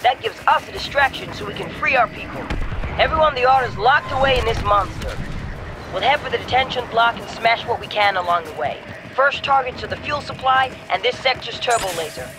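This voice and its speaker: A plain-spoken rebel squad leader from a game, determined and urgent. His voice sits very high for a man, with clipped informal phrasing over clearly noisy battle ambience. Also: lively intonation, brisk. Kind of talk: dramatic video game briefing.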